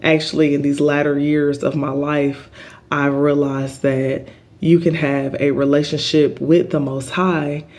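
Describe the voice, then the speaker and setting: A middle-aged man hosting a spiritual healing channel, talking in an earnest, halting way with lots of filler ums and a big voice. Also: fairly high pitch, little pitch movement, a little mellow. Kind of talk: spiritual healing vlog monologue.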